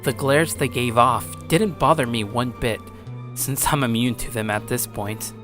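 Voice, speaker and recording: A man acting as a blunt high school boy, narrating his own story and speaking dialogue in an earnest, tough way. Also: a little slow, a bit bright, soft background music, close to an everyday voice. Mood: serious, determined. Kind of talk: first-person dramatic narration and dialogue.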